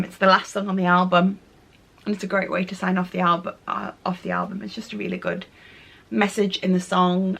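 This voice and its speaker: A woman, chatting casually to camera about her monthly favourites, her voice croaky and nasal from a cold, gushing and repeating "really, really". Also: mid-range voice, fairly bright tone. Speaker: lifestyle vlogger.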